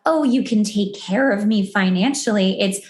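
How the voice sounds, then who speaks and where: A woman's voice: mid-range voice, normal speed, a little mellow, echoey room. A woman hosting a podcast in a casual conversational style, enthusiastic and emphatic. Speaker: podcast host.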